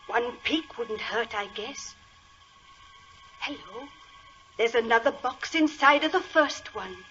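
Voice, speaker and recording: An actress playing a frightened, superstitious country housemaid, in excitable 1940s radio style. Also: female voice, on the high side. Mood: hushed curiosity. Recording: muffled, noisy old radio recording.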